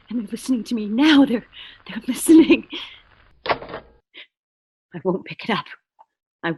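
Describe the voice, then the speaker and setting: A woman's voice: mid-range voice, husky. A woman playing an anxious, demanding invalid wife in a radio thriller, agitated and stammering as fear takes hold. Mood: fearful, hushed.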